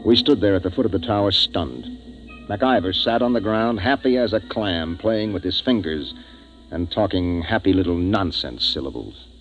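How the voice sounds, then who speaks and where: An American actor playing a decisive, brooding spaceship captain in a 1950s radio science fiction drama. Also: male voice, low-pitched, normal speed, dull audio, faint music behind, natural voice. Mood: grave narration. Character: decisive, brooding male spaceship captain narrating his expedition.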